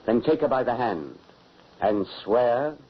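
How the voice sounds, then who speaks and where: An actor playing a stern, secretive huntsman and father, gruff and commanding, in old radio drama acting. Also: medium pitch, expressive, unhurried. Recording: dull old radio recording with hiss.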